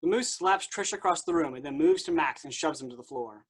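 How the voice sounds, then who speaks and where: Higher-pitched man narrating a script. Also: expressive, brisk, slightly rough. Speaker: stage directions reader.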